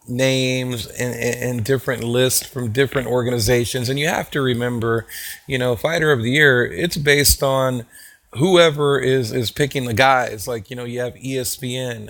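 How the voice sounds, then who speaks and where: A man with a hoarse voice from a cold, talking casually to his viewers as a channel host, rambling with many fillers and restarts. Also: mid-range voice, a bit bright. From Texas. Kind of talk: casual talk to camera.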